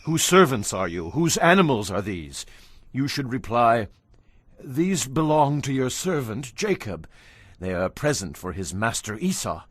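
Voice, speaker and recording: A man's voice: mid-range voice, very animated, measured pace, no room sound. A man playing an anxious, humble Hebrew patriarch in a dramatized audio Bible, speaking earnestly and deferentially. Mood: instructing.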